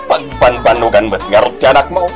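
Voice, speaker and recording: A man's voice: medium pitch, brisk, music in the background, natural voice. An actor in an Ilocano radio drama playing a mocking, scolding man who needles and exclaims.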